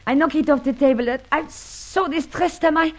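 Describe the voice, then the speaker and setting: An actress plays a coy foreign woman with a very high voice and a foreign accent. She speaks broken English in a fluttery, theatrical way, as a charmer in an old radio caper. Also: normal speed, close to an everyday voice. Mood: theatrically distressed. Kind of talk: vintage radio drama acting.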